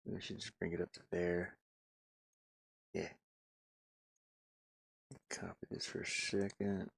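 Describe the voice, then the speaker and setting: A man giving casual, halting live commentary as he works on game art, muttering and thinking aloud. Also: deep voice, flat delivery, creaky, breathy edge, dry recording. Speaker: streamer and hobby game designer.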